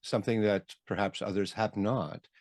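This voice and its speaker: A man's voice: fairly low pitch, steady tone, normal speed, darkish tone, no echo, studio quality. A man interviewing someone conversationally, engaged and reflective as he rephrases his thoughts.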